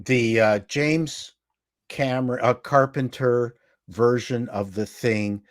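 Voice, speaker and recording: A man chatting casually as a panelist, halting and repeating words as he gets going. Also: medium pitch, steady tone, no room sound, studio quality. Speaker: panelist on a pop culture show. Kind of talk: casual chat.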